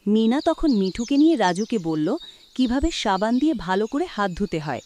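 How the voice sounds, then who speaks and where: A woman's voice: on the high side, sing-song, normal speed, a little mellow, slight background noise. A woman narrating a scripted children's story as the storyteller.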